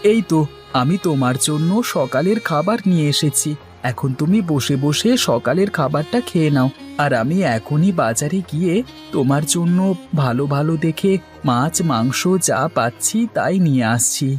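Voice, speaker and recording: An earnest, devoted village husband played by a man from an animated fairy tale. His voice is fairly high and theatrical, set over music. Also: normal speed, lightly acted. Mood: caring. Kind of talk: Bengali fairy-tale cartoon voice acting. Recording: music under the voice.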